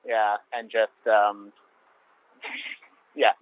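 A man's voice: on the low side, little pitch movement, drawn-out words, dry recording. Rambling wry man in his thirties, on a phone line. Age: 30s. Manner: rambling, wry, many fillers and restarts. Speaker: teacher. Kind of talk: casual phone chat. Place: sheltered spot outdoors.

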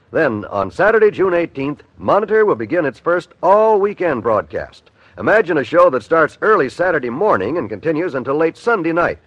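A man's voice: fairly high pitch, no echo. A man with an American accent reading scripted 1950s network radio announcements in a polished, emphatic way. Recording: slightly dull vintage radio recording.

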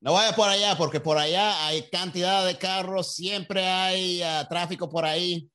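A man's voice: sits high, normal speed, pitched above the performer's own voice. A man doing a gossipy Cuban man giving directions. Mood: chatty. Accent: Cuban Spanish. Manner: gossipy, rambling, animated.

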